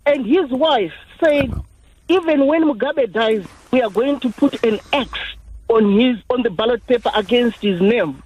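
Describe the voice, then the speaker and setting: A man's voice: very high voice, unhurried, darkish tone, slightly rough. A man, calling in to a radio phone-in and speaking earnestly and insistently. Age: 40s. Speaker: caller. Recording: dull phone line.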